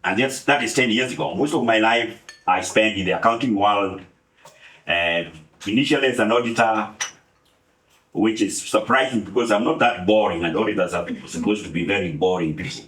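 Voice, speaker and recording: A man in his seventies from Kenya, giving a relaxed, humorous account of his career with many pauses. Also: lowish voice, reverberant, rough recording. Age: 70s. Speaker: guest speaker. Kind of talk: relaxed storytelling talk.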